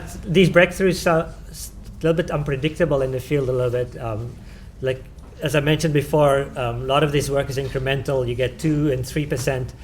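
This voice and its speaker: A man's voice: mid-range voice, a little hiss or hum. A man with a South African accent answering audience questions at a tech talk, hesitant, with many "um"s and restarts. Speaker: conference presenter answering questions. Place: conference room.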